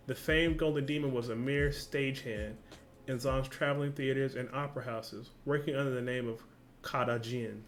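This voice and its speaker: A man's voice: medium pitch, a bit bright. A man reading a story aloud for a reaction video, often stumbling and correcting himself, with casual slang asides.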